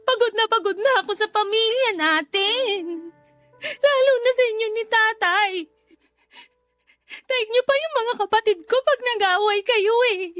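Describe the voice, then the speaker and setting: A woman's voice: extremely high pitch, measured pace, a bit bright, dry recording. A woman playing a weary, hurt young daughter in a Filipino radio drama, pleading emotionally and tearfully. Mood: hurt, exasperated. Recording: faint music under the voice.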